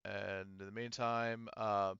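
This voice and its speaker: A man runs a tabletop roleplaying game in a crisp, bright voice. He explains rulings casually and conversationally, hedging as he goes. The sound is close and dry, though slightly dull. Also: lowish voice, flat delivery, slow, dry recording. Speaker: game master.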